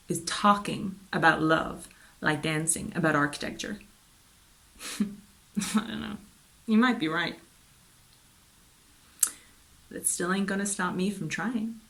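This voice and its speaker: Reminiscing woman , acted monologue: low pitch, wry, wistful, natural conversational delivery. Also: vocal fry, a bit bright. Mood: reflective.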